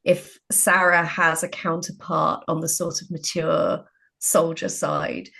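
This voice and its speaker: A woman with a creaky, rather low voice that is distinctly husky. She speaks as a writer in a casual conversation, halting and discursive as she works through her thoughts. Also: level tone, fairly bright tone. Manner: halting, discursive, frequent filler phrases. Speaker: writer and co-interviewer.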